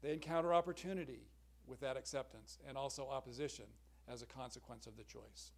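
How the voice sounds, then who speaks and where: A middle-aged man giving an academic conference talk from a podium in a large lecture hall, measured and earnest, clearing his throat now and then. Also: mid-range voice. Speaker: symposium speaker. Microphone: podium microphone, far camera.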